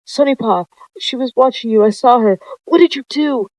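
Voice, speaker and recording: A narrator voicing a distraught, furious female cat in a roleplay story, shrill and emphatic. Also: moderate pace, darkish tone, no room sound, lightly acted. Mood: furious, accusing. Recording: dull lo-fi close dry recording.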